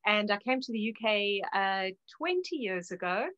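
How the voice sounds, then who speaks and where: Woman, South African accent, middle-aged, medium pitch, articulate, explanatory interview guest. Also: animated, moderate pace, fairly bright tone, muffled recording. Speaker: guest naturopath and health adviser.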